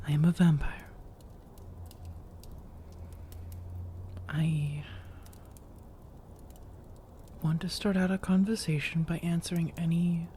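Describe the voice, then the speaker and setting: A woman playing a seductive, teasing vampire roommate in soft-spoken ASMR roleplay, coaxing and reassuring, often drifting into whispers. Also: lowish voice, close to an everyday voice. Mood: calm, reassuring.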